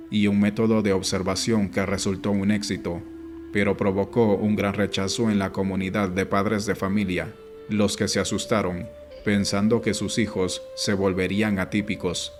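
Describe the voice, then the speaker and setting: A man reading an audiobook as scripted narration, in a measured, formal way. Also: fairly low pitch, little pitch movement. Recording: music behind the voice. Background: background music.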